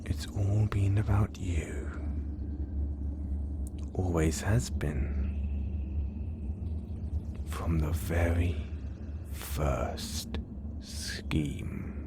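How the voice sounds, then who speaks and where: A man voicing an obsessive, menacing male supervillain in an audio roleplay, speaking in a slow, hushed, gloating whisper. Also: deep voice, full character voice. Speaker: voice actor.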